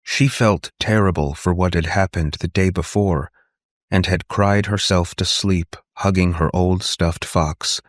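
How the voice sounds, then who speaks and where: A man narrating a scripted story as an audiobook, in a measured, deliberate and dramatic way. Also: low-pitched, fairly even intonation, no echo, clean studio sound.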